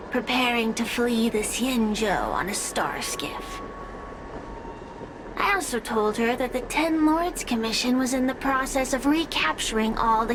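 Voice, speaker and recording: A woman's voice: on the high side, background noise, lightly acted. A woman playing a sly, sadistic spirit in a video game, with a taunting, theatrical, cackling manner. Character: sly, sadistic, mocking spirit who relishes others' suffering.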